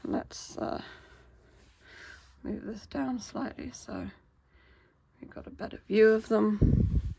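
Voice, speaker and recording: A woman, hoarse from a cold, chatting casually as she unboxes toys. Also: fairly high pitch, fairly even intonation, takes it slowly, long pauses, warm tone. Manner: chatty, with frequent "um" fillers. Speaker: toy unboxing channel host.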